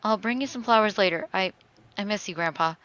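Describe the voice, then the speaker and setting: A woman voicing a grieving, defiant teenage daughter in a dramatic story reading, halting and emotional. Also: medium pitch, a bit bright, highs cut off, close to an everyday voice. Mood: tearful. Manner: halting, pleading, rising to heated outbursts.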